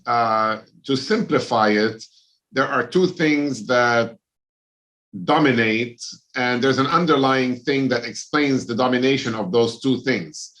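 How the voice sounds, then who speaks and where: A middle-aged man from Lebanon, a plastic surgeon, answering interview questions in a measured, explanatory way with many ums and uhs. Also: mid-range voice, fairly bright tone.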